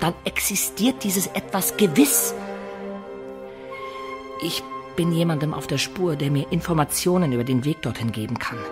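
An actor playing a wistful adventurer who recounts his life in a German radio play, in a measured, expressive storyteller's manner. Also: fairly high pitch, natural voice. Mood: eager, persuasive. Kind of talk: dramatic first-person narration in German radio play.

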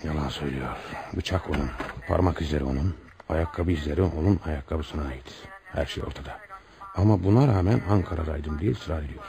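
A man's voice: rumbling low, a little fast, creaky, a crowd in the background. A man acting a stern, insistent homicide police commissioner in a radio drama, with a commanding, pressing manner. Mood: brooding, businesslike.

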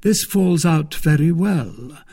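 A man voicing a courteous, discreet gentleman musketeer, formal and polite, in an audiobook story. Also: fairly high pitch, animated, takes it slowly, vocal fry, breathy edge, voice pushed up, heavily acted. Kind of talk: dramatised audiobook reading.

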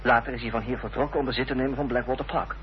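Man acting a determined gentleman, muffled old recording. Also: medium pitch, fairly even intonation, rapid-fire, natural voice. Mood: firm, insistent. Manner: formal, insistent, earnest. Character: determined, formal gentleman confronting an enemy's accomplice. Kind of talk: radio drama acting. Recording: dull, noisy old radio-play recording with hum.

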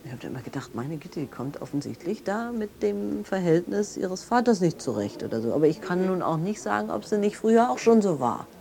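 A woman's voice: fairly low pitch, big pitch swings, fairly quick, gravelly, hardly any pauses, mellow. An actress playing a disapproving woman witness, rambling and conversational as she gives her account in a naturalistic radio drama. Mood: disapproving, puzzled. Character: disapproving woman witness recalling an overwrought acquaintance.

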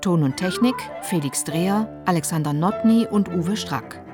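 A woman reads the credits of a radio drama in a crisp, formal tone. Her voice sits a little low, with clear instrumental music playing behind her. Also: normal speed. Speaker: announcer. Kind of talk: credits announcement.

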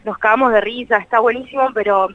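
A woman's voice: on the high side, fairly even intonation, quick pace, no echo. A woman from Buenos Aires with a porteño accent, chatting casually and a bit giggly as an interview guest over the telephone. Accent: Buenos Aires Argentine. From Buenos Aires, Argentina. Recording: muffled dry phone line.

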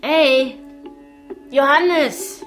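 Stoned funeral singer, very high voice, dazed, flustered, slow, music behind, radio comedy. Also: female voice, a bit bright, heavily acted. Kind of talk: rhymed comic radio play.

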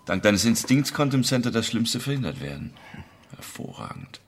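Smug, gravelly, deep-voiced policeman. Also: big pitch swings, normal speed, faint music behind. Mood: mock jovial. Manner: glib, patronising. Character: smug, evasive male state security policeman, falsely jovial. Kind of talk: naturalistic radio drama dialogue.

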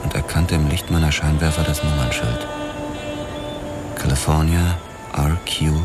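A brooding detective. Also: male voice, a bass voice, level tone, over loud music. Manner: brooding, measured narration. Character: male detective narrating in first person. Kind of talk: hardboiled first-person narration.

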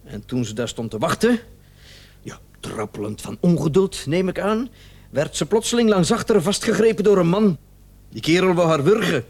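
Smug, sardonic doctor in radio drama. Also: male voice, high-pitched, expressive, darkish tone. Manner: theatrical, ironic, drawn-out emphasis. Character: smug, talkative doctor, bitterly sarcastic about his unfaithful wife. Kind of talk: Dutch radio play acting.

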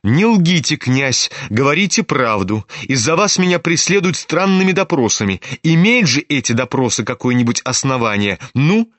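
A man voicing a proud, sharp-tongued young noblewoman in a storybook reading, mocking and imperious. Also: medium pitch, big pitch swings, moderate pace, hardly any pauses, no echo, voice pushed up.

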